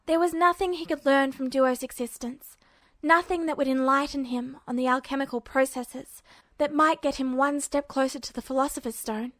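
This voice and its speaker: High-voiced woman narrating a story. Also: fairly even intonation, normal speed, dry recording, studio quality. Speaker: fan fiction narrator.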